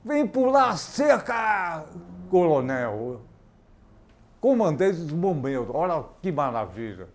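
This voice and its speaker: A male talk-show host, unhurried and fond of jokes. His voice sits a little high for a man, and he speaks in a playful, teasing way in a studio. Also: sing-song. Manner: playful, joking, slow and drawn out. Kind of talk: casual TV interview. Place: university TV studio.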